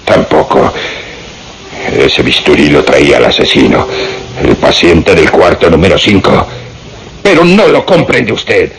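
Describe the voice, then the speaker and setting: An actor playing a grave, intense doctor in a melodramatic radio serial, speaking formally and emphatically. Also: male voice, very deep voice, sing-song, measured pace, vocal fry, pauses often, exaggerated voice, rough recording. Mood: insistent. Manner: dramatic, emphatic, formal diction. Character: grave, intense male doctor voicing ominous suspicions. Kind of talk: melodramatic Spanish-language radio serial acting.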